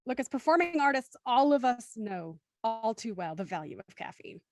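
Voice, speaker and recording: A woman with a high-pitched voice leads a casual group chat as host. The sound is close and dry, and the delivery is playful and conversational. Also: very animated, normal speed, a little mellow, no room sound, soft background music. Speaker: host of a cast discussion panel. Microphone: close mic on a video call.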